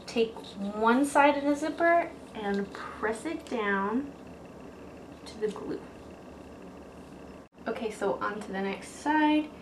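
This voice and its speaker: A woman hosting a craft tutorial, talking viewers informally through each step. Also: on the high side. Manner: informal, addresses viewers as guys. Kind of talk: casual step-by-step how-to instruction. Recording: echoey room with faint background noise.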